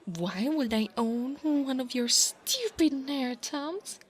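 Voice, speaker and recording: The haughty dragon girl who corners the class nerd in a monster roleplay audio, acted by a woman, insecure under slow, drawling taunts in a highish voice. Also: animated. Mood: flustered, threatening. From Italy. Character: insecure, mocking young female dragon bully. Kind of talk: ASMR roleplay.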